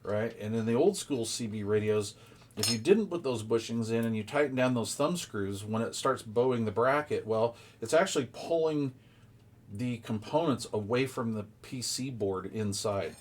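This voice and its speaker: A man's voice: medium pitch, normal speed, a little mellow, slight background noise. A man giving a casual, hands-on unboxing commentary, explaining details informally and thinking aloud as he goes. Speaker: product reviewer.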